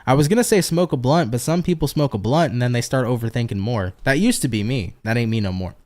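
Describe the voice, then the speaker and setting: A man's voice: medium pitch, quick pace, darkish tone. A tired man reading tarot on a casual livestream, chatty and profane, laughing often and answering viewer comments. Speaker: tarot reader hosting a livestream.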